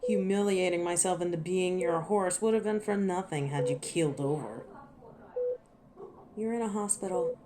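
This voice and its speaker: A woman's voice: lowish voice, moderate pace, mellow, a little hiss or hum, natural voice. A woman playing a cocky, teasing werewolf in a scripted audio roleplay, sardonic and protective with an edge of menace, speaking to the listener. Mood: teasing, relieved. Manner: sardonic, taunting, playful.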